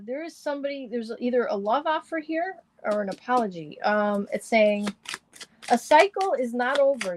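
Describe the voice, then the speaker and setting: A middle-aged woman doing live astrology and tarot readings for viewers, rambling and chatty with lots of fillers, her voice slightly hoarse and deepened. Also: slight background noise, low-quality recording.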